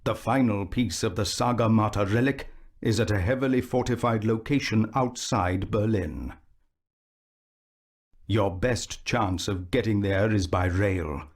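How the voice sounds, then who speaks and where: A grim video game announcer who briefs the player on character dossiers and missions. His voice sits a little low, and he takes each line at an unhurried, heavy pace. The tone stays grave and ominous throughout. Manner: slow, grave, ominous delivery. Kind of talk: scripted game narration.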